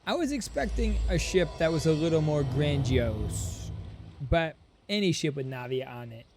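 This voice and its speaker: A woman's voice: low-pitched, expressive, moderate pace, a little mellow. A woman game streamer casually reacting to a story, playful and gushing, with short interjections over game music.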